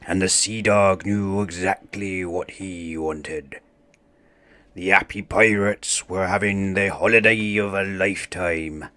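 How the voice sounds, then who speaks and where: A man reads a children's storybook aloud with dramatic expression. His voice sits fairly low, and he takes his time, pacing each phrase very slowly. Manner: theatrical, expressive. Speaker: storybook narrator.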